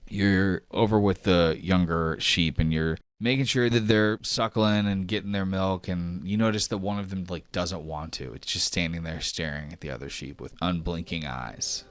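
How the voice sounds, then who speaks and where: Male game master, low register, conversational tabletop roleplay narration. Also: moderate pace, hardly any pauses, no echo.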